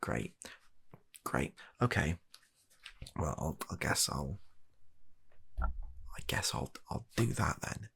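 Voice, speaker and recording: Low, husky British man acting a deadpan doctor. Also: in a whisper, heavily acted. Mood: sarcastic, weary. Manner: halting, deadpan, exasperated. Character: weary, reluctant, baffled male doctor humouring an absurd patient. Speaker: comedy ASMR performer. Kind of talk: comedy ASMR medical roleplay.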